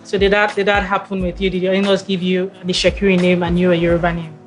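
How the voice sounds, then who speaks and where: A woman's voice: lowish voice, completely flat delivery, fast, soft background music. A woman hosting a podcast, asking curious follow-up questions. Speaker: podcast host. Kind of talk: interviewing.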